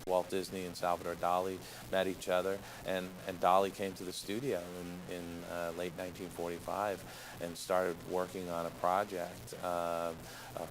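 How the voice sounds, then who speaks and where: Low, even-toned halting man. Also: low-quality recording. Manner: halting, repeats words. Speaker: interviewee explaining film history. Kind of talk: unscripted interview. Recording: clear background ambient noise.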